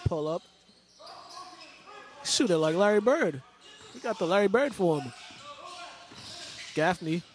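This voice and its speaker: A man's voice: high-pitched, animated, slow pace, long pauses. A man giving loose, chatty play-by-play commentary of a game, repeating names and restarting phrases. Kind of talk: casual sports commentary. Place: large indoor school gym. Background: faint gym ambience and ball bounces.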